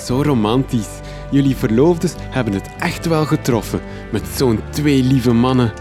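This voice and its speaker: A man's voice: medium pitch, measured pace, music in the background, lightly acted. Eager Flemish radio-drama reporter, played by a man. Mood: adventurous. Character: eager, theatrical big-city male reporter.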